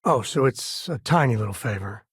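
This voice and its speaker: A male actor playing a wry, rough-edged space cowboy in a video game, with a husky, raspy voice and a dry, folksy manner. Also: medium pitch, lively intonation, normal speed, no echo. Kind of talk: game character dialogue lines.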